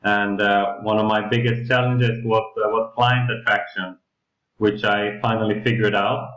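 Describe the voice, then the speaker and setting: Swedish man presenting a coaching call, halting and casual, roomy echo. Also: lowish voice, level tone, a bit bright. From Sweden. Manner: hesitant, many fillers, tags "yeah?". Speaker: co-host of a coaching webinar.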